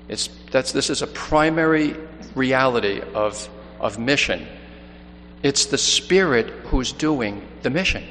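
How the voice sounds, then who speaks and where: Priest from nyc talking earnest and unhurried, keeps restarting, big echoey church, faint hum behind. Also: male voice, mid-range voice, a bit bright. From New York City. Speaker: missionary priest. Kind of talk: Gospel reading and mission appeal homily. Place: large church.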